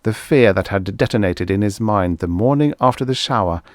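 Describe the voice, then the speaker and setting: Man, audiobook narrator, low-pitched, dry recording, scripted storytelling. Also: lively intonation, normal speed, dry recording.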